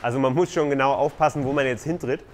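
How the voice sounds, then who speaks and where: A man's voice: medium pitch, fast talker, slight background noise. A man on a tiny house building site, explaining construction steps in a loose, conversational way. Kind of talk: casual explanatory vlog.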